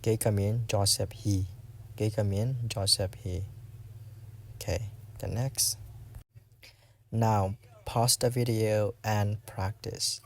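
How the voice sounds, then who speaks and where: Slow, gravelly-voiced man teaching a language. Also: on the low side, flat delivery, darkish tone. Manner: slow, patient, repeats phrases for practice. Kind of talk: casual spoken language lesson.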